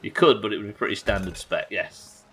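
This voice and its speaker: A man's voice: lowish voice, brisk, fairly bright tone, slight background noise. An English man doing casual live game commentary, sweary and jokey, bantering and reading out chat at his desk. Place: indoors at a desk.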